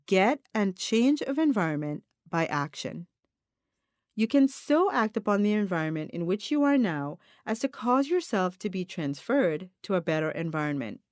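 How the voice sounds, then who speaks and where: Woman, audiobook narration, instructional, emphatic and deliberate, expressive melody, dry recording. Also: medium pitch, no echo. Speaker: audiobook reader, self-help mentor. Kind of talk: scripted audiobook narration of a self-help text.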